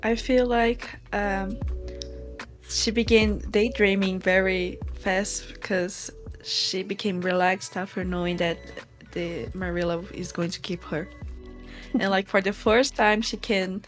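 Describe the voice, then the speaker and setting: A young woman co-reading a book, speaking in simple, soft-spoken phrasing and laughing along. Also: medium pitch, fairly even intonation, slow, faint music behind. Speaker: co-reader. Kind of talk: casual chat.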